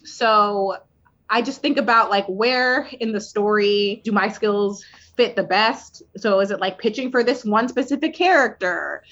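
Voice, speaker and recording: A woman who writes for TV talks candidly in a relaxed interview. Her delivery is animated and loose, full of "like" and quick restarts. The audio sounds slightly dull and lo-fi. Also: mid-range voice. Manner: candid, animated, many fillers. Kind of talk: casual interview conversation.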